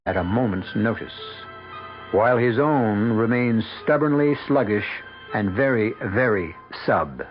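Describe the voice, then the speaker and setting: A man narrates a 1970s radio mystery with wry, theatrical delivery and animated pitch. He places his phrases deliberately. The old broadcast sound is dull, with music behind him. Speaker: host and narrator.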